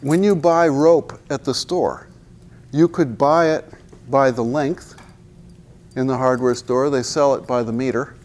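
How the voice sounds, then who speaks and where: A man's voice: mid-range voice, fairly even intonation, measured pace, a little mellow. A man in his sixties giving a university physics lecture in a large hall, wearing a lapel mic, joking and asking the class rhetorical questions as he walks to the chalkboard. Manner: walks and points at the chalkboard while talking.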